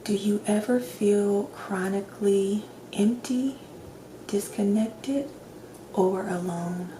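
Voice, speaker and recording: A woman doing a soft-spoken ASMR roleplay as a calm doctor, asking questions gently in a hushed, near-whispered voice with long pauses. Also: medium pitch. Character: calm doctor giving a personality assessment. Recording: roomy echo, clear background noise.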